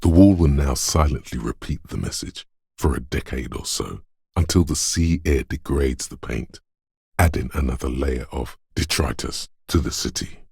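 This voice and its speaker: Inner voice of art, video game narration, rapturous, theatrical, gravelly bass, close dry recording. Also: male voice, moderate pace, a little mellow, no echo, heavily acted. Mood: wry, dramatic. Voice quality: very deep, gravelly, husky. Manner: theatrical, savouring, poetic phrasing. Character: inner voice of artistic imagination, grandiose, rapturous, snobbish aesthete. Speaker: game voice actor. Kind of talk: dramatic literary game narration.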